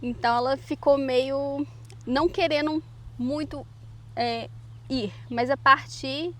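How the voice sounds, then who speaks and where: A woman in her thirties with a Brazilian Portuguese accent, chatting casually as a mother and co-host, a little hesitant and quick to laugh. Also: sits high, steady tone, fairly bright tone. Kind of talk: casual chat.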